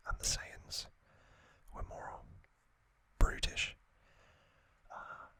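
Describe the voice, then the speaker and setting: Slow whispering man, crisp and sibilant. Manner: hushed, deliberate, short phrases with long gaps. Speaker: ASMR host. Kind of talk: whispered ASMR trivia recap.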